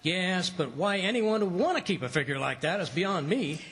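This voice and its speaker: An actor playing a blustery, folksy, wisecracking husband in old-time radio comedy. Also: male voice, high voice, animated, moderate pace, fairly bright tone, slightly rough, full character voice.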